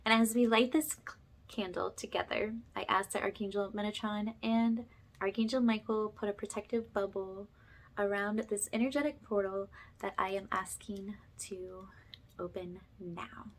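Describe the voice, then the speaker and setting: A woman hosts a spiritual ASMR session as an energy healer. Her voice is soft and medium in pitch, often edging into a whisper. She talks gently and informally, in an unhurried way, as if guiding listeners through a ritual. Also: steady tone.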